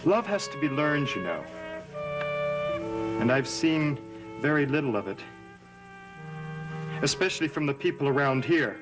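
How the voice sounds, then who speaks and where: An actor playing an earnest, brooding young man in a gothic soap opera, speaking deliberately. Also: a little high, big pitch swings, music in the background, rough recording. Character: earnest young man, newly worldly, bitter about love.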